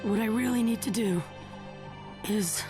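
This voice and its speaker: A woman voicing a stubborn, earnest teenage ninja boy in an anime game, with a raspy, boyish voice. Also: on the high side, measured pace, lightly acted. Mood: troubled resolve. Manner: earnest, determined, emphatic. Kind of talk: English anime game voice acting. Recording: music behind the voice.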